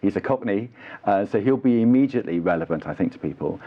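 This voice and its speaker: A man's voice: on the low side, lively intonation, fast. A British man, an actor and drama teacher, giving earnest, explanatory answers in a conversational interview. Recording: dull, muffled lo-fi audio.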